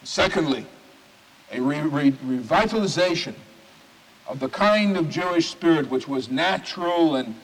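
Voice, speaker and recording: Man, rabbi, sermon, oratorical, emphatic pauses, somewhat high voice, long hall reverb. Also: expressive.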